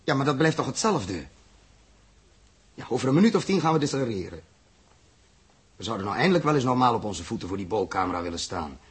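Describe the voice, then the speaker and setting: Male captain: very husky, rough-edged voice, practical and in command, sci-fi radio drama. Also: medium pitch, sing-song, quick pace, pauses often. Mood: businesslike. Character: commanding, practical spaceship captain running his crew. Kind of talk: 1960s Dutch radio play acting.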